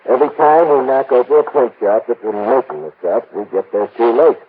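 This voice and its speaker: An actor playing a grumbling, harried big-city police lieutenant in a 1950s radio crime drama, blustery and colloquial. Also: male voice, mid-range voice, expressive, a little fast, natural voice. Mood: explaining. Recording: tinny, hissy vintage radio recording.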